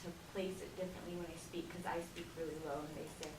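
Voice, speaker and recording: A woman's voice: on the low side, little pitch movement, quick pace, background noise. A woman student asking hesitant questions with many fillers, heard from far off in an echoey room. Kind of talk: questions in a class.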